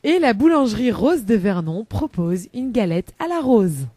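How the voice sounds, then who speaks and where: A woman's voice: on the high side, very animated, moderate pace, dark tone, dry recording. A woman chatting on a live radio show as a columnist, rambling with many hesitations and laughing often, into a studio microphone.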